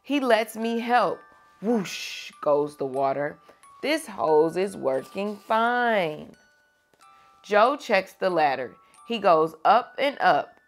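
A young adult woman hosting a read-aloud for children, teacherly, moving between expressive storybook reading and chat with questions. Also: mid-range voice, takes it slowly, faint music behind. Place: small furnished room indoors.

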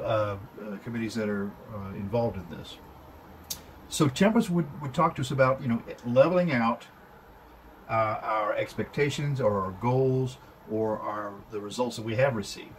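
A man giving an unscripted tarot reading at a table indoors, halting and full of fillers and restarts. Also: medium pitch, a little hiss or hum, rough recording. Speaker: tarot reader.

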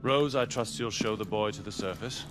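A man playing a low-voiced, imperious commander. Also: normal speed, background noise, natural voice. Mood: smooth authority. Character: composed, imperious military commander. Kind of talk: video game cutscene acting. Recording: music underneath.

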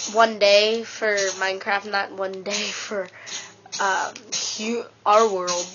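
A woman with a stuffy nose gives casual, rambling commentary while she plays a video game, excitedly talking to herself. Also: medium pitch, noisy background. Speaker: let's play gamer. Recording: dull lo-fi with game music.

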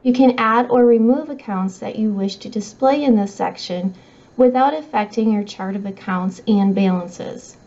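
A middle-aged woman reads scripted tutorial narration in a measured, instructional way. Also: medium pitch, darkish tone. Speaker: software trainer. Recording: slightly dull, muffled audio.

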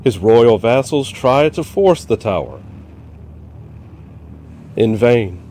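A man narrating a comic-book horror tale with unhurried, dramatic pacing. His mid-range voice rises and falls widely for effect, over faint hiss. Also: medium pitch, animated, mellow. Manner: slow, dramatic delivery.

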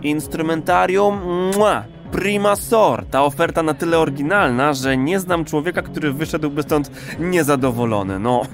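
A man's voice: fairly high pitch, moderate pace, few pauses, over music, lightly acted. An actor playing a crude, cocky, streetwise man in an audio drama, talking in chummy, vulgar slang. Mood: brash. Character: brash, crude, streetwise male regular of a pleasure institute.

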